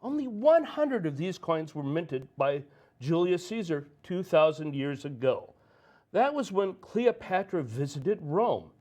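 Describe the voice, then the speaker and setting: A man acting a gentle, knowing, persuasive angel in a theatrical radio drama style. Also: fairly high pitch, very animated, unhurried.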